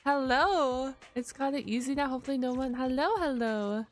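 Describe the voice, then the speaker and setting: A woman's voice: high voice, moderate pace, faint music behind. A woman game streamer giving casual live reaction commentary with informal, chatty asides.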